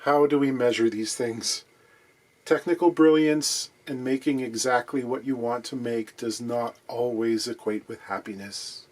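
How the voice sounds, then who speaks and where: A man's voice: medium pitch, fairly even intonation, a little slow, darkish tone. A man hosting his guitar repair vlog from his workshop, talking in a wry, chatty, digressive way. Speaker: guitar repair luthier and channel host.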